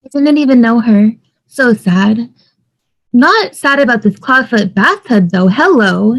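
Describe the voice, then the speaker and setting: A woman's voice: a little high, expressive, measured pace, low-quality recording. A woman playing an eager, optimistic young wife in an amateur table read, with a gushing manner. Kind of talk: amateur table read over video call.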